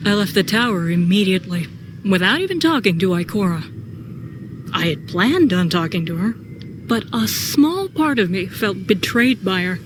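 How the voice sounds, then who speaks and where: A woman's voice: mid-range voice, big pitch swings, normal speed, lightly acted. A woman narrating a sci-fi audio drama in first person as a troubled, brooding soldier. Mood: brooding, wary. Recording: clear background noise and ambience.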